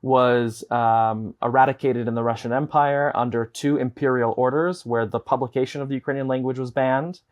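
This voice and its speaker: A young man from the United States, a guest on a video call, explaining things at length in an earnest, measured way through wired earbuds. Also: mid-range voice, fairly even intonation. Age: young adult. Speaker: guest, advocate. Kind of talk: explanatory interview talk. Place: indoor home room.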